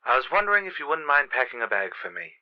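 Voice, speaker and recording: A man's voice: medium pitch, big pitch swings, fast, muffled recording, natural voice. An actor playing a stern, formal professional man in a vintage radio soap, with a clipped, authoritative manner. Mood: brisk, cool.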